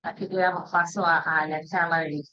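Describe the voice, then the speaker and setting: Woman facilitator, lowish voice, hesitant fillers, muffled lo-fi sound. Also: little pitch movement, normal speed. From Uruguay. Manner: hesitant, frequent "este" fillers and repeats. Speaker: webinar facilitator, hematologist. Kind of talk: formal introduction.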